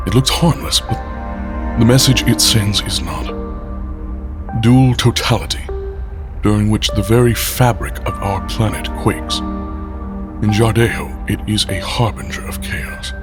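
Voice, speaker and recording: A brooding, guarded scientist. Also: male voice, lowish voice, huge pitch swings, measured pace, pauses often. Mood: solemn. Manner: dry, guarded, introspective. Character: brooding male Jardejo scientist, guarded and reclusive. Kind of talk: audio drama acting. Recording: loud music underneath.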